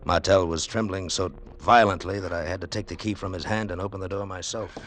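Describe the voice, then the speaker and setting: Hardboiled lead: low voice, cool, commanding, wry, unhurried, golden-age radio thriller narrator. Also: male voice, steady tone, fairly bright tone. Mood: wry, reflective. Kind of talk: 1940s-50s radio drama acting.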